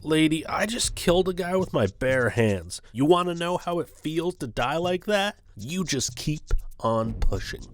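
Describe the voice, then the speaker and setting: A man's voice: a little high, expressive, a little slow, few pauses. An actor playing a threatening, rattled male cop with a confrontational, drawling delivery in a radio play.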